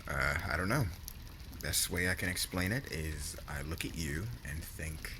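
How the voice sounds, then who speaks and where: A man playing a cocky, affectionate young warrior husband in an intimate ASMR roleplay, teasing casually with murmured endearments and a gravelly voice. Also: very deep voice, a little slow, bright, forceful tone, slight background noise, full character voice. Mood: playful, tender.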